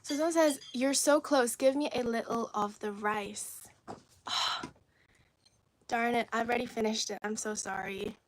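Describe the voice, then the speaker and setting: Cantonese-native woman chatting on a phone livestream, highish voice, slips into soft whispery asides. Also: a little slow, a little hiss or hum, poor audio. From China. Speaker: livestream host. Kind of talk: casual livestream chat, reading viewer comments.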